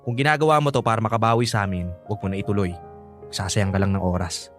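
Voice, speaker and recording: An actor playing a resentful, stubborn young adult son in a radio drama, with a curt, sarcastic manner. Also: male voice, on the low side, steady tone, fast talker, soft background music, lightly acted. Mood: bitter, sarcastic.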